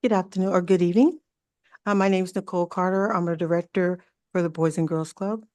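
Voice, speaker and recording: A woman, a club director, giving a brisk and eager presentation at a city commission meeting. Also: fairly low pitch, fairly even intonation, darkish tone, dry recording, clean studio sound.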